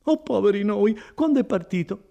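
A distraught peasant woman of middle age acted out by a male reader, pitched high and swinging widely as she pleads. She wails and exclaims her way through each line, as in a dramatised storybook. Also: animated, moderate pace, vocal fry, mellow, highs cut off. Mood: anguished, pleading. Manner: wailing, exclamatory. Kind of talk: dramatised audiobook reading.

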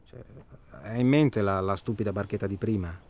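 An actor in a radio drama playing an irritable, bitter married man, halting and repetitive, swearing as he talks in a naturalistic way. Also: lowish voice, a little mellow. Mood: reflective, bitter. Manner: profane, halting, repeats himself.

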